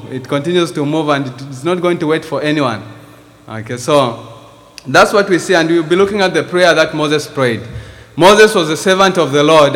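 A man's voice: a little high, a bit bright, big-room sound. A man from Zambia with a Zambian accent, preaching a sermon in church and reading scripture earnestly. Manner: earnest, reads scripture with rising emphasis. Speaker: visiting preacher.

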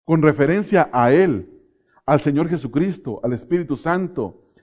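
A middle-aged Mexican-born man delivers a sermon in a fairly high voice. He asks his listeners questions, then answers them himself. He drags out the key phrases for weight. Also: lively intonation, darkish tone. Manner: slows down to stress key phrases. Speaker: preacher.

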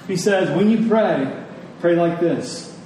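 A man preaching a sermon in an earnest, emphatic manner, repeating key phrases with deliberate pauses. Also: high-pitched, animated, warm tone. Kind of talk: sermon to a congregation. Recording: very echoey room, distant mic, faint noise.

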